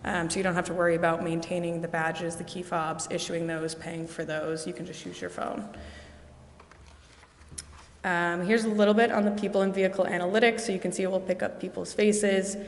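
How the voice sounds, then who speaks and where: A woman's voice: lowish voice, fairly even intonation, a little fast. A woman presenting a security system to a city council, explaining as she goes with many "um" fillers. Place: council chamber. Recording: echoey chamber with long reverb.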